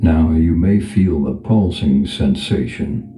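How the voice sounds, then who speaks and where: A man leading a guided meditation as a calm spiritual teacher, speaking in a gentle, unhurried, instructional manner. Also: very deep voice. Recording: echoey reverb over background music. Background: music.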